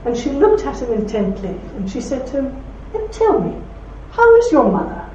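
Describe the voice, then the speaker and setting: A woman's voice: high-pitched, very animated, moderate pace, very noisy. Belfast woman telling stories in a hall. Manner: warm anecdotal storytelling with laughs. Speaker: speaker at a talk. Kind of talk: talk with audience questions. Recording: roomy hall from far off, dull.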